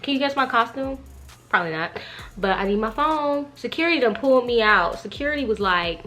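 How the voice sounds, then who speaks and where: High-pitched, animated young woman storyteller. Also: a little fast. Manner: slangy, gossipy, acts out quoted dialogue. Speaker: vlogger. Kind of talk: animated casual storytime chat.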